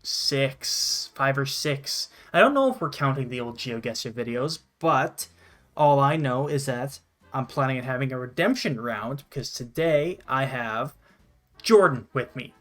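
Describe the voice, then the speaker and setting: A man's voice: medium pitch, lively intonation, a little slow, a bit bright, faint music behind. A man from Canada hosting a gaming show with casual, sweary gameplay commentary. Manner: swears freely.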